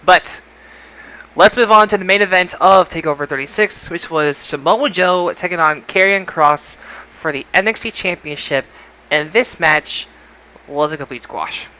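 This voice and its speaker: Man, podcast host, high pitch, forward bright tone, casual talk, announcer flourishes. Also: normal speed, smooth, low-quality recording. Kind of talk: casual wrestling podcast discussion.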